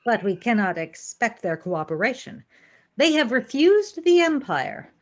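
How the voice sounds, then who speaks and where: Woman acting animated, stern starship first officer. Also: fairly high pitch, unhurried. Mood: formal, insistent. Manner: formal, precise, reproving. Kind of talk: audio drama acting.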